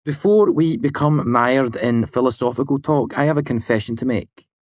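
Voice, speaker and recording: A man voicing a calm, thoughtful young man. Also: mid-range voice, no echo, clean studio sound, voice pushed up, lightly acted. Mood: curious. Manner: measured, somber.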